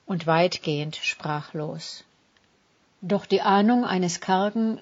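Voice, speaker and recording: A woman reading a scripted literary essay as narrator in a measured, formal delivery. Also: on the low side, dull audio.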